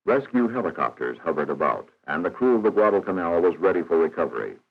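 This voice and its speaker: Very deep-voiced man, vintage film narration. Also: very animated, slightly rough. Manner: formal, measured, matter-of-fact. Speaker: documentary narrator. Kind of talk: scripted 1960s educational film narration. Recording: dull, band-limited 1960s film soundtrack.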